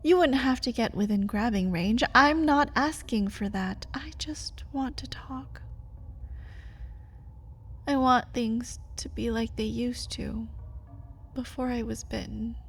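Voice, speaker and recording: Caged vampire lover , played by a woman: unhurried, husky-breathy, intimate ASMR delivery, pleading with a bitter edge. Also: a little high, full character voice. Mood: wistful, coaxing. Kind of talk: ASMR roleplay.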